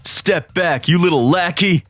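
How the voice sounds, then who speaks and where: An actor playing a mocking, taunting teenage street thug in a manga voice-over. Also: male voice, high voice, a little slow, fairly bright tone, no room sound, faint music behind. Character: sneering teen male street thug.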